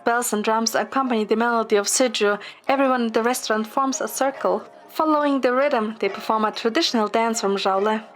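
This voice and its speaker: A woman's voice: fairly high pitch, a little fast, hardly any pauses. A woman reading scripted first-person story narration. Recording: music behind the voice. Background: music.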